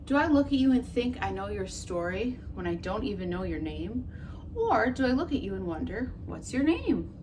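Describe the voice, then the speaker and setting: A woman teacher reading a picture book to children, her pitch rising and falling in a lively way. She tells the story gently and puts questions to her young listeners. Clear background noise sits under her voice. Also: normal speed.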